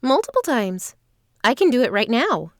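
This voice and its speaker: An earnest, self-assured young traveling performer, played by a woman, in a fantasy audio drama. Her voice sits fairly high and moves expressively up and down, recorded dry and close. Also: sing-song, normal speed, no room sound. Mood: matter-of-fact.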